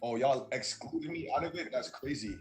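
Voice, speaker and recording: A man's voice: medium pitch, normal speed, soft background music. A man chatting with a student breakout group on a video call, joking around in laid-back slang. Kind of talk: casual chat.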